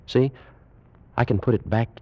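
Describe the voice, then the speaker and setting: An actor playing a proud, fervent ancient Egyptian priest and alchemist in a grave, theatrical 1940s radio drama style. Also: male voice, medium pitch, steady tone, normal speed, dry recording, natural voice. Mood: solemn, assured. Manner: grave, formal, theatrical delivery. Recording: muffled old-time radio recording.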